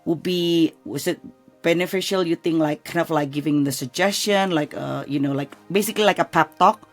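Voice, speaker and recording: Gravelly Indonesian-accented woman host. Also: sits low, faint music behind. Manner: halting, searching for words, many fillers. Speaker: podcast host. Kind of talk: casual interview chat.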